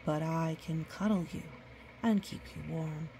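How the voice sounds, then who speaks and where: A woman reading the part of a grown male wolf in a storybook, gentle, protective and reassuring. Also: low-pitched, a little slow, a little hiss or hum, pitched below the performer's own voice.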